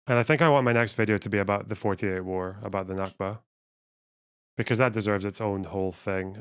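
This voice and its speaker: A man's voice: lowish voice, a bit bright, clean tone. A man hosting a livestream, thinking aloud in a rambling, wry way while reading out chat. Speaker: livestream host.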